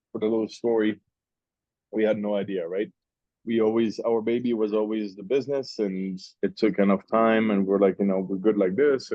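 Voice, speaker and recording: Low-voiced Swiss man, rambling over lunch. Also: darkish tone, no echo, lo-fi sound. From Switzerland. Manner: rambling, with restarts and long asides. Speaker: interview guest, cigar maker. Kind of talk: casual lunch chat. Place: restaurant at lunch.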